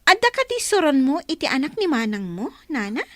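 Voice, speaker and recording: A woman's voice: high-pitched, sing-song, fairly quick, fairly bright tone, no echo, studio quality. A woman acting in a radio drama as a spirited, chatty young woman who is full of questions. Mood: curious.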